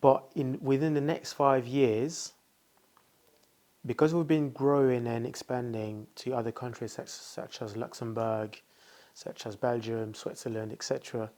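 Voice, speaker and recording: A man's voice: mid-range voice, fairly even intonation, a little slow, warm tone. Thoughtful man talking with many fillers. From France. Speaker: founder, guest. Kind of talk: casual interview talk.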